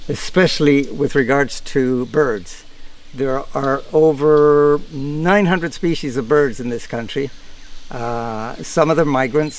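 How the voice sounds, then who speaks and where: An older retired man from Costa Rica explaining outdoors in a relaxed, unhurried way, pausing on fillers as he talks. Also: mid-range voice. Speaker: retired nature photographer. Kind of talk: casual on-camera explanation. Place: outdoors in the countryside.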